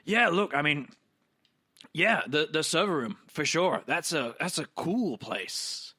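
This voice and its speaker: A man playing an awkward, over-eager male broker in a tabletop game, halting and stammering. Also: on the high side, lively intonation, pushed and bright, slightly rough, heavily acted. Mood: flustered. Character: hustling, awkward, easily embarrassed male broker.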